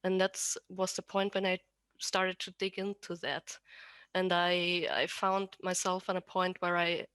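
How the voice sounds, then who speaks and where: A woman's voice: fairly low pitch, little pitch movement, a little slow, a bit bright, clean tone, dry recording. A woman with an Austrian accent chatting casually as an interview guest, often restarting and correcting herself as she explains. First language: German. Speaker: podcast guest, rigger.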